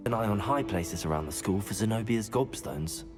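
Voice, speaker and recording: An actor playing a polite, helpful British teenage student in a video game, measured and well-spoken. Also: male voice, fairly low pitch, lively intonation, music in the background, close to an everyday voice. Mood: musing. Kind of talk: video game cutscene acting.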